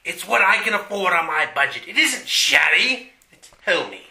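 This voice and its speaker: Western radio-play villain, piercing sharp voice, gravelly creak, whiny, theatrical, easily offended. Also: male voice, sits high, big pitch swings, normal speed, echoey room, exaggerated voice. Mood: indignant. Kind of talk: hobby comedy radio play acting.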